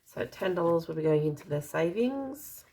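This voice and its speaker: An Australian woman with a slightly croaky, dry voice, casually narrating as she counts out cash in an unhurried way. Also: fairly low pitch, lively intonation, warm tone. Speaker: budgeting vlogger.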